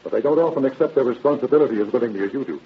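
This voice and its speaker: An actor playing a businesslike, courteous detective captain with matter-of-fact, clipped questioning, in old-time radio drama style. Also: male voice, medium pitch, very fast, lightly acted. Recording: muffled, noisy old radio drama recording.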